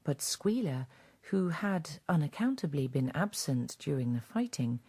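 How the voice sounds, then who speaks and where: A woman narrating a radio drama in a measured, scripted storytelling manner. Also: low voice, sing-song, mellow, breathy edge.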